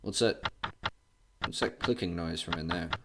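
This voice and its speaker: An actor playing a grumpy, tight-fisted, skeptical property owner in a radio drama, curt and grumbling. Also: male voice, deep voice, normal speed. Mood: impatient, uneasy. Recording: loud background noise, hum and clicks.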